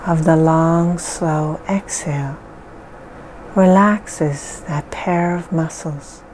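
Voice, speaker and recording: A woman leading a guided hypnosis session in a slow, soothing, measured way with long pauses. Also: on the low side, mellow, slight background noise, rough recording.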